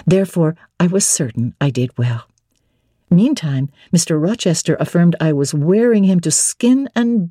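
Female audiobook narrator: formal, expressive, measured delivery with a dark, soft tone and very dry studio recording. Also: low-pitched, dry recording. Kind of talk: dramatic literary audiobook narration.

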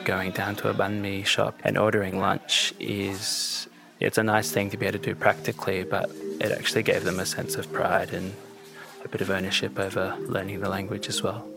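A man, a journalist guest explaining a story in a measured, thoughtful podcast conversation. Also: low voice, little pitch movement, vocal fry, over music.

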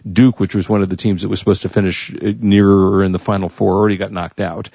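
An American man hosting a radio show in casual chat, talking in a halting, wordy way and often repeating himself. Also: deep voice, level tone, creaky, dark tone, slightly rough, no room sound, highs cut off.

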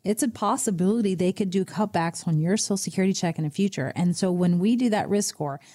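A middle-aged woman co-hosting a casual financial talk show, animated and quick with exclamations. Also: mid-range voice. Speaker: podcast co-host, financial advisor. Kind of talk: casual radio chat.

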